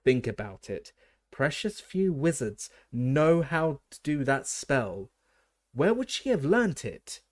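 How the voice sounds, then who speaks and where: A man voicing an angry, protective middle-aged father who speaks up loudly. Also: mid-range voice, big pitch swings, takes it slowly, pitched above the performer's own voice. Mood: indignant. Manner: loud, indignant.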